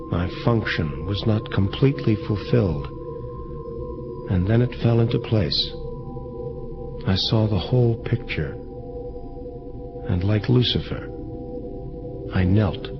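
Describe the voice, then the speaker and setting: An actor playing a world-weary angel of vengeance, narrating gravely in hardboiled detective style, measured and deliberate. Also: male voice, fairly low pitch. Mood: reflective. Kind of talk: first-person hardboiled detective narration, audio drama. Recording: dull lo-fi audio under loud music.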